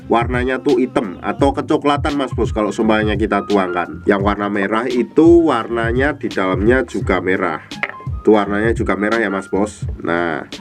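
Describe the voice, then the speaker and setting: A man with a nasal, stuffy voice from a cold, chattily explaining products to viewers as a vlog host. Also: mid-range voice. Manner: chatty, repeatedly addressing the viewer. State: congested from a cold. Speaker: online product seller and video host. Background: music behind the voice.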